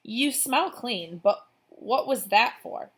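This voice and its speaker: A woman's voice: a little high, animated, takes it slowly, fairly bright tone, breathy edge, voice pushed up, heavily acted. A woman voicing a young girl in a children's storybook, loyal and teasing, talking in a casual kid manner.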